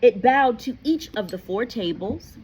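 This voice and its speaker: A woman reading a story aloud in an animated, playful, expressive way, like a teacher. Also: on the high side, measured pace, slightly rough, poor audio. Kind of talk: expressive read-aloud narration with casual asides.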